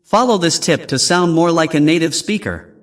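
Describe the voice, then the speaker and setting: Lively, slightly high male lesson voice. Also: a little hiss or hum. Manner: slow, clear, announcer-like prompts. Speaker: English teacher host. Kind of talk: scripted lesson narration.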